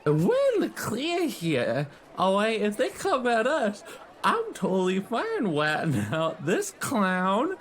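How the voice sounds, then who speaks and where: A man with a fairly high voice plays a tabletop role-playing game. He talks slowly in casual slang with swearing, improvising as his character. There is some background noise. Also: wildly swooping pitch.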